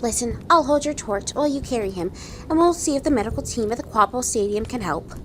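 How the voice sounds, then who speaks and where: Lady doing a mouthy goblin gal, high lively voice, scornful, fantasy podcast w music. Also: quick pace, slight background noise, natural voice. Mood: scornful, impatient. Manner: scornful, blunt, slangy. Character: brash, sharp-tongued female goblin, quick to embarrassment. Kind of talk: tabletop fantasy audio drama acting.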